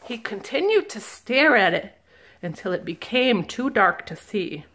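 A woman, a children's librarian, reading a picture book aloud slowly and gently, stopping to ask the listeners questions. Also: medium pitch, sing-song. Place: indoors at a table, camera above the book.

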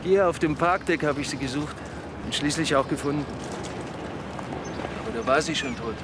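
Man playing defensive widower, fairly high voice, confessional, crime drama, noisy outdoor ambience. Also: natural voice. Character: defensive widowed businessman confessing under questioning. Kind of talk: German TV crime drama acting.

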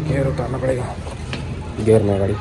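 A man's voice: mid-range voice, steady tone, brisk, gravelly. A young man chatting casually and slangily to viewers as a motorcycle vlogger. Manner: informal, slangy, repetitive bro-talk. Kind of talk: casual vlog chat to viewers. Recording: loud motorcycle engine and traffic noise.

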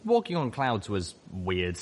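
A man's voice: on the low side, wildly swooping pitch, unhurried, a little hiss or hum. A man narrating a scripted story as an audiobook reader.

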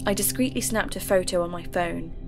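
A woman's voice: fairly low pitch, a little fast. A woman narrating her own audio drama in an earnest, curious manner. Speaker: narrator and lead character. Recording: music bed behind voice.

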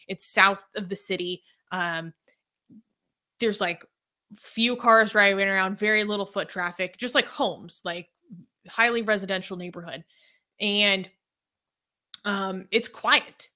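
A woman's voice: mid-range voice, fairly even intonation, slow pace, sharp tone. A young woman talking through a news story casually, hesitant with many ums and likes, on a video call from home. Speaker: co-host.

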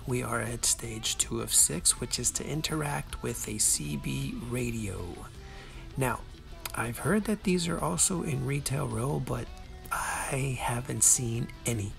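A man's voice: medium pitch, vocal fry. A man giving a casual game walkthrough, chatty, playful and self-deprecating, as the host of a guide.

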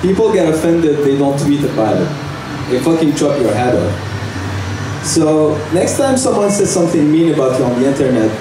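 Deadpan young man on an echoey bar stage. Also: a little high. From Algeria. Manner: deadpan, halting with fillers, breaks into nervous laughs. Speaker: stand-up comic. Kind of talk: open mic stand-up set. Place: small bar stage indoors. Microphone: handheld mic, filmed from far camera. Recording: echoey room from far off, noisy.

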